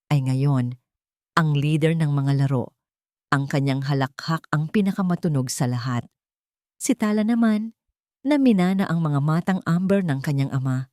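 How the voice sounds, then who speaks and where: A woman narrates a dramatic story in a low voice. She speaks in short, measured phrases with deliberate phrasing. The recording is dry and close, with no room sound. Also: darkish tone, no echo.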